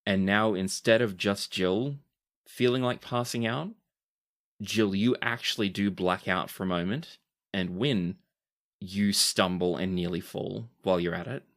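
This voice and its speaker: A man running a tabletop game as game master, narrating scenes in an unhurried storytelling manner. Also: low-pitched, fairly bright tone. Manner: descriptive, unhurried storytelling with dramatic pleading quotes.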